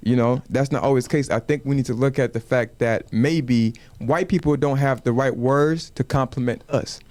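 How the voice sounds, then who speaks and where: A man whose first language is Wolof, talking in a casual studio panel in a measured way and asking rhetorical questions. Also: medium pitch, a little mellow, dry recording. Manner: measured, asks rhetorical questions, says "you know what I'm saying". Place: community media studio.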